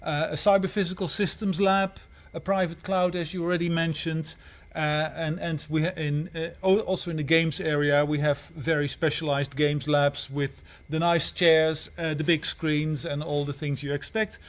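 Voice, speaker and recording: A man from the Netherlands, a head of school, answering questions on a livestream in a halting way with many restarts. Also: a little high, little pitch movement.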